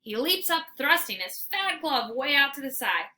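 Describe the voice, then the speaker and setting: Bright, animated woman reading aloud. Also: high voice, moderate pace. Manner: animated, theatrical. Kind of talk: expressive children's read-aloud narration.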